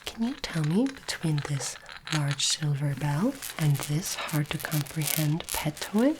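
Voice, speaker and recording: A woman doing a soft-spoken, whispered ASMR roleplay as a polite, formal alien interviewer, with deliberate phrasing. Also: deep voice, expressive, background noise.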